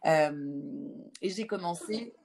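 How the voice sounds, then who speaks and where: A woman, answering conversationally in an interview, often pausing on filler sounds as she goes. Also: fairly low pitch, darkish tone. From Lyon, France. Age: 20s. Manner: hesitant, halting delivery with frequent filled pauses. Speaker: interview guest, start-up founder and pharmacist.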